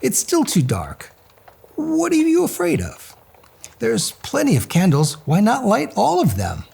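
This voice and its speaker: A man's voice: high-pitched, huge pitch swings, unhurried, creaky, a little mellow, husky, voice pushed up, heavily acted. A man voicing a brave, clever storybook hero who defies a goblin with taunting, unafraid nonchalance. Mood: feigned nonchalance.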